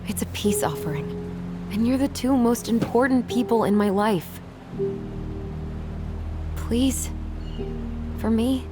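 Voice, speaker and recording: A woman's voice: fairly high pitch, lively intonation, takes it slowly, pauses often. A woman playing a caring, wry young sister in naturalistic, conversational video game dialogue. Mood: earnest pleading. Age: young adult. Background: game music.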